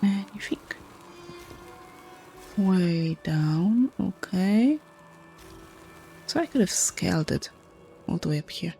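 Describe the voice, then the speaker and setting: A woman with a hoarse, low voice, muttering and chuckling to herself as she comments on a video game. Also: expressive, slow pace, pauses often, mellow, soft background music. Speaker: streamer. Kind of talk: casual gameplay commentary.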